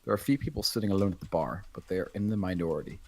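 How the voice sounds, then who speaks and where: Really deep raspy guy narrating a screenplay at a table read. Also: very fast, a little mellow. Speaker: stage directions reader.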